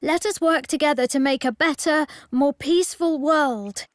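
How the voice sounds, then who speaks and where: A woman's voice: high voice, moderate pace, no room sound, studio quality, natural voice. A woman voicing a young boy king in a fantasy game, polite, formal and idealistic. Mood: earnest.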